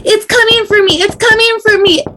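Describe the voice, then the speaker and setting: Very high, frantic woman passenger played by an actress. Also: steady tone, a little fast, sharp tone, a little hiss or hum. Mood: hysterical panic. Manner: frantic, demanding, repetitive. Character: frightened, accusing woman passenger panicking on a bus. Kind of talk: amateur online table read.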